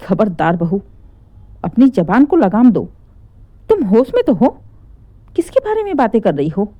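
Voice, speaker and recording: A woman's voice: mid-range voice, sing-song, a little slow, dry recording, highs cut off. A woman storyteller acts out an indignant mother-in-law from a family tale. She is stern and commanding, full of reproach. The recording is close and dry. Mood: angry. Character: stern, indignant mother-in-law scolding her son's wife.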